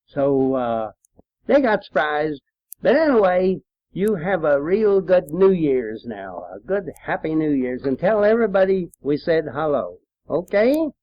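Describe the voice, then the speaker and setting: An elderly man with a rural American accent, reminiscing in a folksy, unhurried way with trailing pauses, as if in a spoken letter. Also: on the high side, sing-song, no room sound. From Appalachian hill country, United States. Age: elderly, 70s or older. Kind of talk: rambling personal reminiscence recorded as an audio letter. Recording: dull, lo-fi home tape recording.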